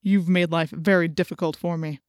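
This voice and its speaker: A woman's voice: fairly low pitch, fairly even intonation, normal speed, darkish tone, no echo, pitched below the performer's own voice, close to an everyday voice. A cruel alien tyrant inhabiting a man's body , acted out by a woman. The delivery is menacing and commanding, with threats pushed through gritted teeth, as in a dramatized storybook. The sound is dry and close. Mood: cold menace. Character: cruel alien slug controlling a male assistant principal, menacing.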